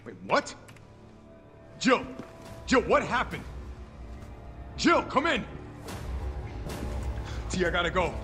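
A man's voice: sits high, sing-song, slow pace, long pauses, sharp tone, heavily acted. An actor playing a brash young male mercenary soldier, urgent and commanding, with game music behind. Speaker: game character.

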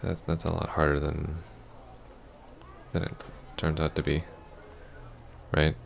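A man acts out a hesitant, heartbroken ex-boyfriend for an intimate audio roleplay. He speaks slowly and softly in an even, subdued tone, stumbling over his words as he apologizes. There is faint noise behind the voice. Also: extremely low pitch, gravelly, full character voice. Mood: hesitant, apologetic. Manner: halting, stammering, soft-spoken. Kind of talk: intimate ASMR roleplay acting.

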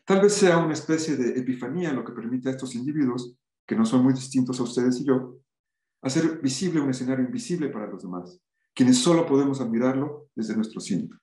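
A man's voice: medium pitch, a little fast, warm tone. Man lecturing in an echoey room. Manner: reads aloud with asides and restarts. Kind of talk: reading and commenting in a lecture.

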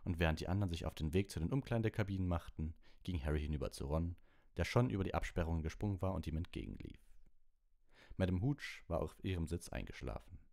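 Low-voiced man narrating with lively intonation. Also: fast, vocal fry. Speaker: audiobook narrator. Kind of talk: expressive scripted story narration.

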